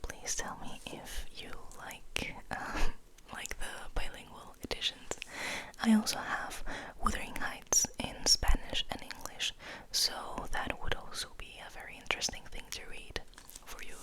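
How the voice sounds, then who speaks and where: A woman whispering in a hushed, deliberate ASMR style, as host of a soft poetry reading, close to the microphone. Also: rough recording.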